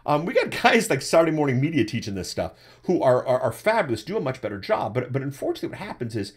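A man in his forties with a deep voice, hosting a live webcast and answering chat questions about podcasting from his desk. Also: fast talker, husky. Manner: animated, repeats words when starting a thought. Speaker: podcasting coach and webcast host. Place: indoors at a desk, webcam framing. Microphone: desktop USB microphone.

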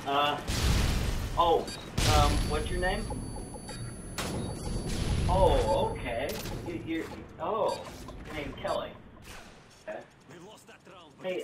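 A young man making a casual prank phone call, rambling crudely in a hesitant way. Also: on the high side. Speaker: video creator making prank calls. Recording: roomy, distant mic with background noise.

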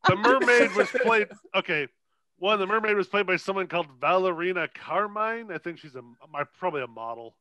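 A man's voice: high voice, animated, fairly quick, pushed and bright. A man co-hosting a podcast, chatting casually about films in a profane, irreverent way. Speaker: podcast co-host. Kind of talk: casual, unscripted film discussion.

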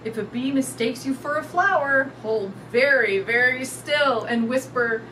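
A middle-aged woman reading a picture book aloud to young children in an animated, sing-song way. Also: sits high, measured pace. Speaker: storytime reader. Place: indoors in front of a curtain backdrop. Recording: distant mic with clear background noise.

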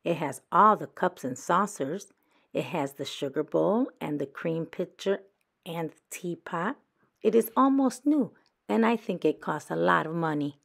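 A woman's voice: lowish voice, expressive, measured pace, gravelly, fairly bright tone, full character voice. A woman voicing a sly, bossy young girl badger in a picture book, persuasive and coyly evasive. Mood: coy bargaining. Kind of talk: children's picture book read-aloud.

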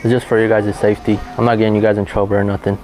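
A man's voice: lowish voice, level tone, rapid-fire, a little mellow, faint music behind, rough recording. A young adult man speaking politely and informally as a pretend store worker, recorded in a big store aisle. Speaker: prankster posing as a store security worker. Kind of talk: casual prank exchange with strangers. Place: large store aisle, indoors.

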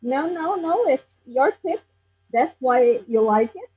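A woman's voice: sits high, expressive, slow pace, husky. A woman from Portugal, a native Portuguese speaker with a Portuguese accent, answering briefly and politely as a workshop participant on a video call. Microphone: video call webcam. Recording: dull lo-fi video call audio.

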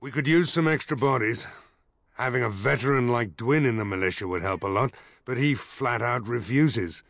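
Gravelly, gruff village mayor. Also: male voice, mid-range voice, normal speed, fairly bright tone, no room sound, clean studio sound. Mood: worried, exasperated. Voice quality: gravelly, husky. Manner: blunt, grumbling. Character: gruff, weary, skeptical male village mayor. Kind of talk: fantasy video game acting.

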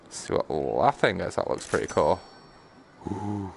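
Guy streaming a game, creaky voice, swings up and down a lot, sweary and messing about. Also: deep voice, measured pace, slight background noise. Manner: excitable, playful, sweary. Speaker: live streamer. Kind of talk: casual gaming commentary.

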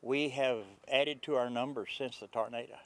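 Earnest older pastor outdoors, steady voice. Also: male voice, medium pitch, soft background music. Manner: earnest, faith-filled, plain-spoken with pauses. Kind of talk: outdoor news interview. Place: outdoors at a construction site.

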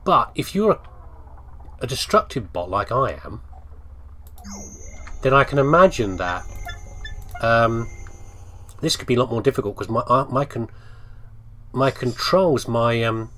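A man's voice: medium pitch, animated, measured pace, long pauses, pushed and bright. A man giving casual gameplay commentary, rambling and thinking aloud as he talks to himself. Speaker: gamer commentating on playthrough.

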